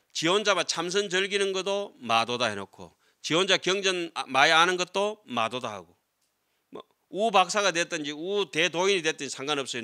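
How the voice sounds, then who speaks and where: A middle-aged man, a Buddhist monk, lecturing on scripture to a class in a hall and speaking into a desk microphone. He is animated and keeps asking the students questions, then answering them himself. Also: on the high side. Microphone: desk microphone on stand.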